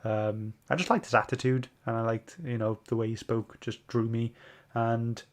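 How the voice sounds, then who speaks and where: Mid-pitched man, hesitant podcast chat. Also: little pitch movement, moderate pace. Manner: hesitant, searching for words. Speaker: podcast book club host. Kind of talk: casual comic book discussion.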